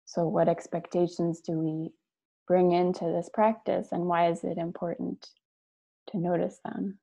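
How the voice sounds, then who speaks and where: Slow, low-pitched woman leading guided meditation. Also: flat delivery, gravelly. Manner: measured, gentle, with long pauses between short phrases. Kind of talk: guided meditation, slow soothing instruction and reading aloud. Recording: dull lo-fi online call audio.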